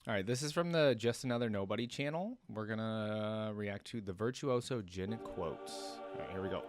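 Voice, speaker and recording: A man's voice: lowish voice, normal speed. A man giving casual, rambling reaction commentary as a video host, thinking aloud. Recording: music playing behind.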